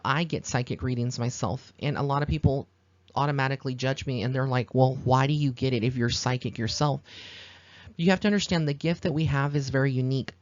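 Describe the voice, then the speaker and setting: Male podcast host, American, medium pitch, earnest rambling explainer, dry and slightly dull recording. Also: no echo. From Texas, United States. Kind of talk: informal spoken podcast monologue.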